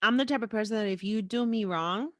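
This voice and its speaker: A woman hosts a podcast in an easy conversational style. She is frank and teasing, with a playful streak, and her mid-pitched voice sits in a dry recording with very little room sound. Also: quick pace, no room sound. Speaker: podcast host. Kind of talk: casual chat.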